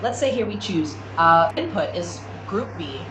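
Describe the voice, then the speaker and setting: A woman giving a step-by-step spoken product tutorial as a demonstrator, with halting, repetitive phrasing. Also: lowish voice, expressive, a bit bright. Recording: clearly noisy room ambience.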